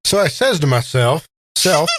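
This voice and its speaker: Male tabletop player, somewhat high-pitched, jokey in-character delivery. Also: sing-song, normal speed, fairly bright tone. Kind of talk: joking in-character game chat.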